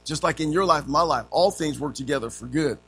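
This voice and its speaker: A man's voice: a little high, a little fast, sharp tone, soft background music. Folksy man teaching, saying amen a lot. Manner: punctuates with frequent amens, calls to the class. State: slightly raspy from allergies. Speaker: Sunday school teacher.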